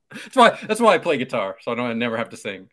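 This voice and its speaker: A man's voice: mid-range voice, sing-song, fast, fairly bright tone. A man co-hosting a casual podcast chat, joking and laughing often. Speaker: podcast co-host.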